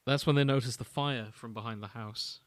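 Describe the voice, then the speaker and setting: A man's voice: medium pitch, fairly even intonation, a little fast, no room sound. Man reading a story, dry close recording. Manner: storytelling, alternating read-out prose with casual asides. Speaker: podcast host. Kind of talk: reading and summarizing fan fiction.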